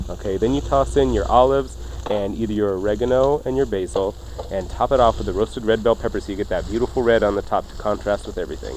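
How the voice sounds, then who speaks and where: A man's voice: lowish voice, a little mellow, slight background noise, poor audio. A man hosting a radio cooking show in a casual, jokey, chatty style. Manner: jokey, informal banter.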